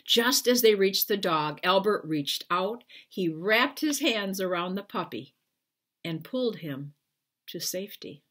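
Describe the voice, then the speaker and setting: A woman's voice: medium pitch, animated, unhurried, fairly bright tone. A woman in her sixties reading a children's storybook aloud expressively, with careful, emphatic phrasing. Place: small furnished room at home, indoors.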